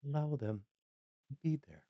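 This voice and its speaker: A meditation guide speaking calmly and slowly in short, unhurried phrases with long pauses, leading a scripted relaxation. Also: mid-range voice, gravelly, no echo, muffled recording.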